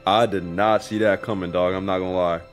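A man's voice: low voice, fairly even intonation, brisk, faint music behind. A man giving casual, slangy, profane and incredulous reaction commentary on a video game. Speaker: gaming commentator.

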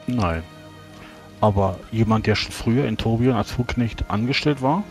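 A man with a fairly low voice takes part in a tabletop role-playing session. He speaks improvised dialogue as his character, with music playing faintly underneath. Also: moderate pace, darkish tone.

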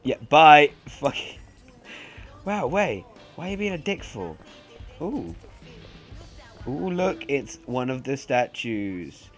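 A man's voice: medium pitch, big pitch swings, slow pace, long pauses, a bit bright, faint music behind. A young man with a stuffy nose giving sarcastic, sweary, chatty commentary over a video game. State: congested. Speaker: let's play commentator. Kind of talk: casual gameplay commentary.

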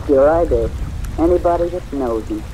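Woman doing a jaded tipsy stage diva, arch n sarcastic, old radio play w loud rain over it. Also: sits low, moderate pace, slight background noise, natural voice, rough recording. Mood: sardonic. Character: jaded, boozy, theatrical former actress, sardonic woman. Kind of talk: old-time radio drama acting.

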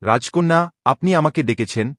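A man voicing a solemn, respectful young prince in an animated story, speaking formally and gravely. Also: medium pitch, brisk, no room sound, studio quality, natural voice. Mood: respectful, reluctant. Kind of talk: dramatic Bengali animated story dialogue.

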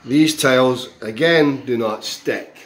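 Slow, halting man filming a bathroom. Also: medium pitch. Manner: slow, halting, frequent pauses and restarts; disgruntled, critical. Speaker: homeowner reviewing a contractor. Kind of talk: handheld walkthrough video review. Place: bathroom under renovation, indoors. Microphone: phone camera held in hand.

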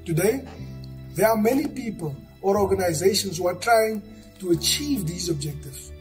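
A man giving earnest, steady scripted narration for a church video, recorded on a studio set. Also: high voice, normal speed, soft background music. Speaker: church member presenting.

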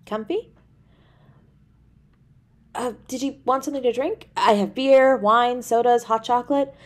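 A woman's voice: fairly high pitch, close to an everyday voice. A woman playing a tender, easily flustered young girlfriend in an intimate audio roleplay, speaking in a hesitant, stammering way. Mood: attentive, nervous. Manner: hesitant, stammering, halting delivery.

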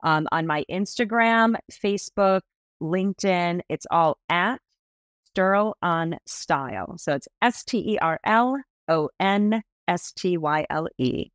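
A woman in her fifties, a stylist being interviewed on a podcast over a video call, telling stories with lively enthusiasm. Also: on the low side, slow pace, no room sound, studio quality. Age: 50s. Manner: animated, enthusiastic storytelling with expressive hand gestures. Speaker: guest stylist. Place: small indoor room.

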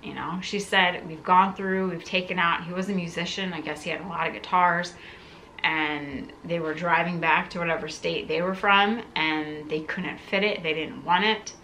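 A woman's voice: lowish voice, fairly even intonation, normal speed, sharp tone, a little hiss or hum, rough recording. A woman in her thirties talking casually to camera as she hosts an unboxing vlog, musing aloud in a conversational way. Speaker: content creator, video host. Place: living room, indoors.

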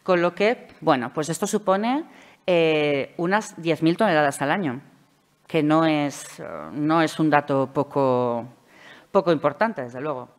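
A middle-aged woman with a Valencian Spanish accent giving a conference presentation from a table mic, measured and explanatory with frequent hesitation fillers. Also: low-pitched. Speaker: speaker at a public administration conference. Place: conference hall, seated at a table. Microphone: table microphone.

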